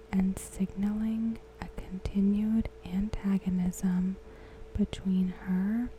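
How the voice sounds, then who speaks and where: A woman reads aloud softly in an ASMR style, in a hushed, breathy whisper with short phrases and long pauses. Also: mid-range voice, a little hiss or hum, low-quality recording. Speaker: ASMR host.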